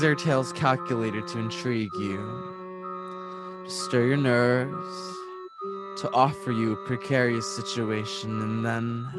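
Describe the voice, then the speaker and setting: A slow, deliberate male announcer over clear music acted by a man. He has a medium pitch and an ominous, dramatic old-time radio delivery. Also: close to an everyday voice. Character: grave, ominous radio suspense series announcer.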